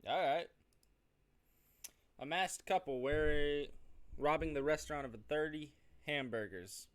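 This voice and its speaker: A man's voice: a little high, unhurried. A man streaming a game, reading its narration aloud expressively, with occasional stumbles and self-corrections. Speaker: gaming streamer doing a playthrough. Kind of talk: reading visual novel narration aloud with off-the-cuff reactions.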